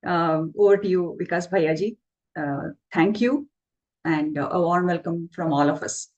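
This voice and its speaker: A woman's voice: lowish voice, a little slow, a little mellow, lo-fi sound. A woman hosting an online class, politely introducing the speaker with frequent fillers over a video call. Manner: hesitant, many fillers, polite. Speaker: session host.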